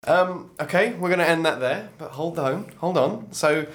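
A man's voice: on the high side, animated, moderate pace, a bit bright. Dry, teasing young man. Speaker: podcast host. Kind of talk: casual film chat. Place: small studio.

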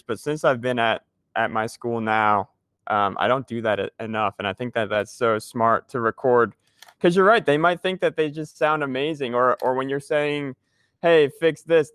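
A man's voice: mid-range voice, a bit bright, clean tone, dry recording, studio quality. A young man hosting a casual podcast, agreeing readily and filling his talk with "like" and "man". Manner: agreeable, uses lots of fillers like "like" and "man". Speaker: podcast host, music teacher.

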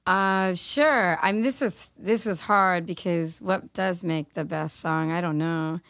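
Raspy low-voiced woman, casual chat. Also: unhurried, a bit bright, no room sound. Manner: loose and chatty, many fillers. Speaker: musician guest.

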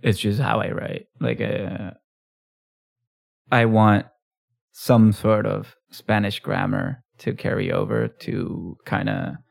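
A man who is a poet, talking about his writing in a relaxed interview, thoughtful and halting. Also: lowish voice, creaky. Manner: thoughtful, halting, restarts phrases. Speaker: poet and author guest.

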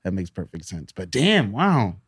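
A man's voice: sits low, big pitch swings, moderate pace, fairly bright tone, slightly rough. A man co-hosting a podcast, reacting and asking questions in casual chat. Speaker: podcast co-host.

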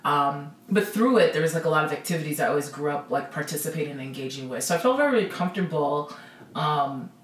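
Low-voiced Midwestern American woman, roomy sound. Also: lively intonation, quick pace, slight background noise. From Minnesota, USA. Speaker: podcast guest. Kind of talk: casual personal interview answers. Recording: roomy echo, distant from the mic.

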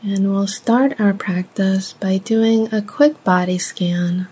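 Slow, husky woman guiding a meditation. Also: mid-range voice, steady tone, dark tone. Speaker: meditation guide. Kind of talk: guided meditation, slow instructional delivery with long pauses.

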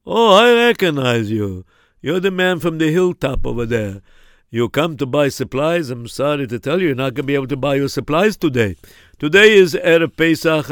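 A man's voice: fairly high pitch, smooth, no room sound, clean studio sound, close to an everyday voice. A man playing a matter-of-fact townsman. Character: townsman on the way to prayers.

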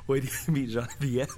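An actor playing a young tech developer, casual and slangy, full of fillers. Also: male voice, medium pitch, a little mellow, a little hiss or hum, close to an everyday voice. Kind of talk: audio drama acting.

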